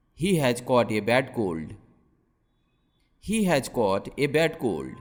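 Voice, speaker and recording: A man teaching English, reading short practice sentences slowly and carefully, saying each one twice. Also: medium pitch, in a large hall. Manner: slow, careful and clearly enunciated.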